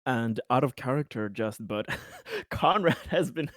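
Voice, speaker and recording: A man's voice: mid-range voice, sing-song, fairly bright tone, no room sound. Casual, jokey man, very dry sound. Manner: jokey, halting, chuckles between phrases. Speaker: player in a tabletop roleplaying game. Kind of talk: casual out-of-character chat.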